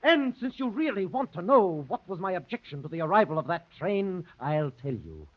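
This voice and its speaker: An actor playing an irritable, sardonic secret agent with biting emphasis in a 1930s radio drama. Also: male voice, high-pitched, very animated, moderate pace. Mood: exasperated. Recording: dull 1930s radio recording.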